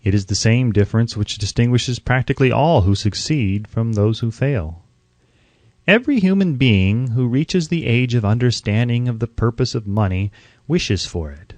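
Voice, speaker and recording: A man reading a self-help audiobook as narrator, with emphatic, deliberate phrasing. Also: mid-range voice, lively intonation, a little mellow, no room sound.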